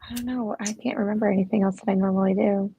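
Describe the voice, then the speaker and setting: A middle-aged mother chatting easily as a guest on a sewing stream, relaxed and agreeable. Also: medium pitch, fast talker, gravelly, noisy background. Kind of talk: casual chat.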